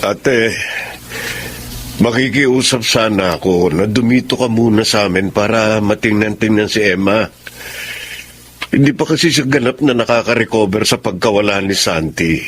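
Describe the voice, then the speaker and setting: A man's voice: fairly low pitch, moderate pace, fairly bright tone, slight background noise, lightly acted. An actor playing a worried, grieving father in a Filipino radio drama, speaking with earnest concern. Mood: concerned, subdued.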